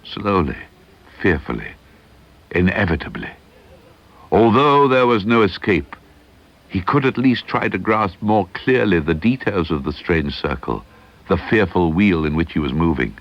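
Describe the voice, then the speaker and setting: A man giving a measured, suspenseful reading of a ghost story, slowing and hushing at the eerie moments, on an old tape recording. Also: low-pitched, expressive. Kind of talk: dramatic audiobook narration. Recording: dull lo-fi archival tape digitised from cassette.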